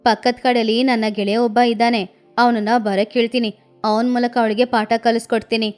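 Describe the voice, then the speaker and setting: Lady doing a commanding eagle village head, lady bird, cartoon, dropped pitch, music under it. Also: level tone, normal speed, smooth, lightly acted. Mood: stern, resolute. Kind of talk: children's cartoon story.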